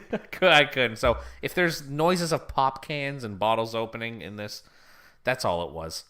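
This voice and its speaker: A man's voice: medium pitch, unhurried, sharp tone, smooth. A young man co-hosting a casual podcast, rambling with restarts and frequent laughs. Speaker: podcast co-host.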